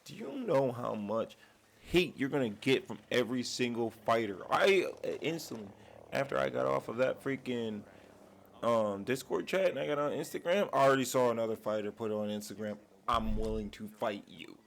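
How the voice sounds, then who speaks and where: Sick male vlog host, raspy and gravelly, cussing rant at home. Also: mid-range voice, moderate pace, slight background noise. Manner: profane, heated rant. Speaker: channel host. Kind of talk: casual ranting commentary. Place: man cave at home.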